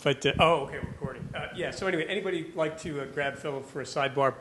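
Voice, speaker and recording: A man's voice: fairly high pitch, racing. A man making a projecting, off-mic announcement to the room at a tech conference session. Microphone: off-mic, speaking from across the room. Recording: distant voice with background noise.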